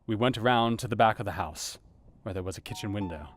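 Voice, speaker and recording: A man's voice: on the low side, fairly quick, lightly acted. An actor playing an earnest, anxious doctor, narrating his diary in a grave, brooding, theatrical manner for an audio drama. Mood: tense urgency. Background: plucked guitar music.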